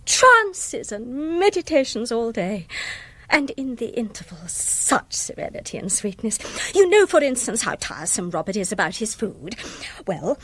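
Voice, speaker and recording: An actress playing an excitable, effusive English society lady in a radio comedy, with an upper-class accent and a confiding, emphatic manner. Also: on the high side, a little slow, husky, heavily acted. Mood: gushing. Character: gushing, excitable society lady besotted with her guru. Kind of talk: British radio comedy drama acting.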